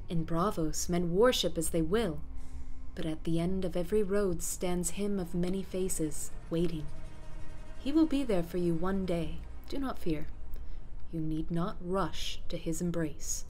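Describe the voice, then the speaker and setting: A woman voicing a gentle, grave priest of a death god, speaking with solemn reassurance. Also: on the low side, lightly acted.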